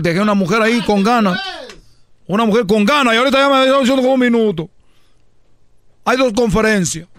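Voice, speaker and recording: Fast, stammering man in comic radio banter. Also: high-pitched, a bit bright, no echo, studio quality. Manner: fast-talking, stammering repetitions, calls everyone "chico". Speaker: radio show guest.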